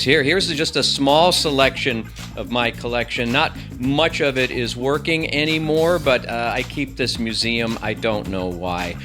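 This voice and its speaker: A man hosting a casual gadget review, chatting to the viewer with self-deprecating asides. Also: mid-range voice. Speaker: tech reviewer, host.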